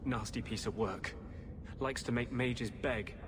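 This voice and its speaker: A grim, principled rebel mage from a fantasy game, played by a man. His medium-pitched voice is earnest and bitterly insistent, with clear noise in the background. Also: a little slow, natural voice. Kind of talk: fantasy video game acting. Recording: clearly noisy game audio.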